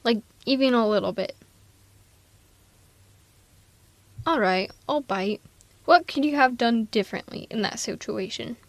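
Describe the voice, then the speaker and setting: Casting: actress for female villain nemesis, blunt yet caring, fairly high voice, casual wry delivery, close dry audio roleplay sound. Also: normal speed, dry recording, lightly acted. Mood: concerned, coaxing. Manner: casual, wry, reassuring, exasperated. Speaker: ASMR roleplay voice performer. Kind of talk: ASMR audio roleplay, conversational acting.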